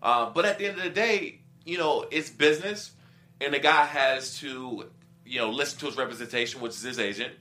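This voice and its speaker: A man's voice: medium pitch, fairly quick, sharp tone. A middle-aged man, a former professional football player, talking casually as a guest and explaining his views with examples and quoted asides. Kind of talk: casual sports talk.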